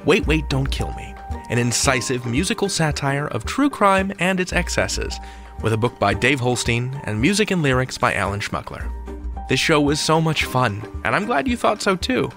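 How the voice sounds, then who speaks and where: A man with a lively, swinging mid-range voice hosts a scripted countdown podcast. He is playful and wry, with faint music. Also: medium pitch, moderate pace. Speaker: podcast host.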